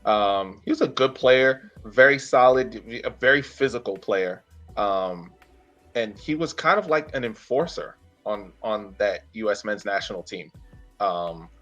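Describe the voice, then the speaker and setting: Male podcast host, thirties, lowish voice, halting casual sports recap, muffled audio with faint music behind. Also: a bit bright. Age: 30s. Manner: halting, searching for words. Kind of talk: casual sports talk, recounting a player's career.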